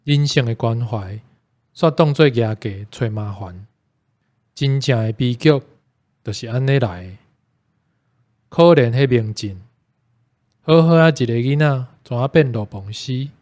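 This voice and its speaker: A man's voice: mid-range voice, unhurried, pauses often. A man with a Taiwanese accent reading a scripted reflection as a book club member. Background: soft plucked guitar music.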